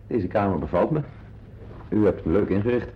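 Grumbling, opinionated husband for radio play; lowish, slightly husky, dull old recording. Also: male voice, a little fast. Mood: polite, appreciative. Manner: wordy, opinionated, hesitant with strangers. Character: Edward Grok, grumbling, self-important husband, confiding in listeners. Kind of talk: radio drama acting with narrated asides.

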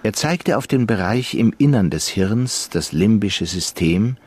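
A man narrating a radio crime drama in a steady, factual reading. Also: on the low side, unhurried, slightly rough. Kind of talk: scripted narration.

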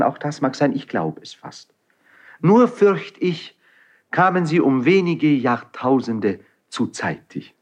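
An actor playing an impetuous, proud young crown prince in a classical stage drama, with theatrical, declamatory delivery. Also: male voice, mid-range voice, full character voice. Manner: theatrical, declamatory, shifting between muted irony and outburst.

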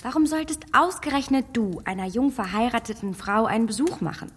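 A woman acting in a radio play as a self-assured, witty young gentlewoman, composed and dryly critical. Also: on the high side, lively intonation, fairly quick, slight background noise, close to an everyday voice. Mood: reassuring, teasing.

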